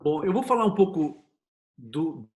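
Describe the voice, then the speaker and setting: A man's voice: on the high side, big pitch swings, unhurried, a little mellow. A Brazilian man speaking as an interview guest, explaining things informally and thinking aloud in a conversational way. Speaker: interview guest, screenwriter and series producer.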